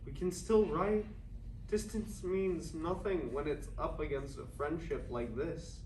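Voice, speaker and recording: An actor playing a young 1920s writer in a staged reading, measured and gently teasing. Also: mid-range voice, natural voice. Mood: reassuring. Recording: echoey room, distant mic, faint noise.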